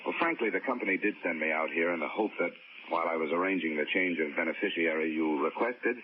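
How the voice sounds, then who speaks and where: An American actor playing a dry, world-weary insurance investigator in a 1940s radio drama. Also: male voice, low-pitched, quick pace, slight background noise, close to an everyday voice. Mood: concerned, persuasive. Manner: dry, sardonic. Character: wry, world-weary freelance insurance investigator, adult man. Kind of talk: 1940s radio detective acting with first-person narration. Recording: dull vintage radio recording with music.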